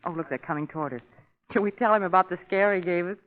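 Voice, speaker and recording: An actress playing a spirited, nervous but wry lady companion, expressive and poised, in 1930s radio drama style. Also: on the low side, fast talker, natural voice. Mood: curious. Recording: tinny, lightly noisy 1930s radio recording.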